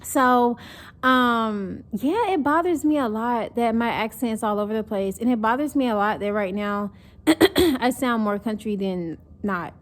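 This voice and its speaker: A woman's voice: on the high side, a little slow. A young woman from North Carolina with a Southern accent mixed with northern speech, chatting casually and animatedly to camera as a vlogger. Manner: chatty, animated, acts out mocking quotes.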